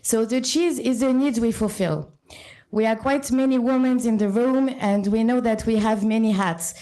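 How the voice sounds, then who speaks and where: A woman with a French accent giving a short conference talk to a live audience, often pausing with ums and restarting sentences. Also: fairly high pitch, mellow. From born in Sri Lanka, French and Italian parents. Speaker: conference speaker.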